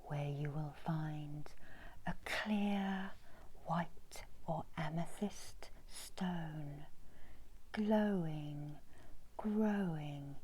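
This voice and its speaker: A middle-aged woman whispers a guided ASMR meditation in a breathy, unvoiced voice. She speaks extremely slowly, with long pauses and a soft, mellow tone. There is clear background noise and ambience in the room. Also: sits low, rough recording. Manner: slow, hushed, measured with long pauses. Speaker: reiki healer guiding a meditation. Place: small indoor room.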